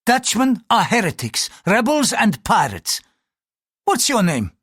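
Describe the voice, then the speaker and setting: An audiobook reader voicing an irritable, fanatical Portuguese priest who speaks in an impatient, accusing, forceful way. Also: mid-range voice, big pitch swings, a little slow, husky, no echo, studio quality, voice pushed up. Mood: irritated, stern.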